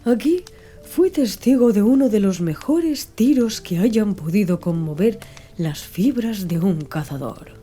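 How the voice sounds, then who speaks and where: A woman reading a literary audiobook in a measured, clearly phrased storytelling manner. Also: mid-range voice, animated, dark tone. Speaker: audiobook narrator. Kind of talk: scripted literary audiobook narration. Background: background music.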